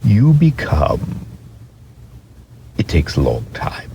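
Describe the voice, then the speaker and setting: A wise, very old toy horse from a storybook, played by a man, speaks in a deep voice. The delivery is slow, gentle and patient, like a kindly elder explaining things. There is faint noise behind it. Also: huge pitch swings, creaky, exaggerated voice.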